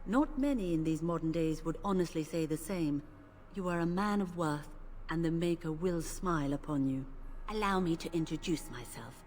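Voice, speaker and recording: Lady doing gentle but stubborn chantry priestess from a game, low solemn voice, a bit of background noise. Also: natural voice. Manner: solemn, measured speech. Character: devout older female priestess, gentle but principled. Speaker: game character. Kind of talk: video game voice acting. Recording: game audio with faint ambience.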